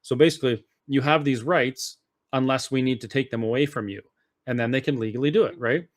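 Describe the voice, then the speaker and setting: A man's voice: medium pitch, a little fast. A man speaking as an interview guest, explaining his views casually and earnestly at some length. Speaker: interview guest, political activist.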